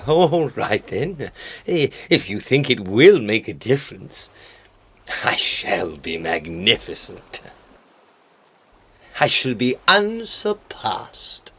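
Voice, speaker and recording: Male actor as gluttonous pompous dodo, gullible and bumbling, radio play character, very animated pitch, slow delivery. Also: slightly rough, exaggerated voice. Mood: pompous, grand.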